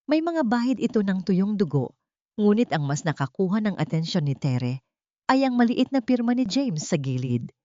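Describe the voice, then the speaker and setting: A woman narrating a dramatic audiobook story in a measured, solemn manner. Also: mid-range voice, big pitch swings, mellow, no echo. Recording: dull, dry lo-fi recording.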